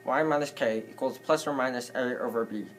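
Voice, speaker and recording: A young man presents scripted math material in the manner of a student. His pitch is middling, and music plays clearly behind him. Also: a little fast, poor audio. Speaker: student presenter in a puppet show. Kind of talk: scripted math lesson narration. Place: classroom.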